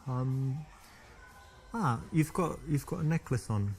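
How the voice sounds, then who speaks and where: A man's voice: medium pitch, expressive, slow, a little mellow, husky, heavily acted. An actor playing a friendly, chatty British man on a first date, asking many questions. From England.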